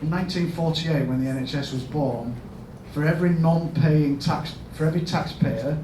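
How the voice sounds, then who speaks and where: A man with a Yorkshire accent giving a storytelling conference talk as a health service chief executive, asking the audience questions and rising to loud emphatic bursts. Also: fairly high pitch, moderate pace. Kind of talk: storytelling keynote speech. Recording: echoey hall, mic at a distance, background noise.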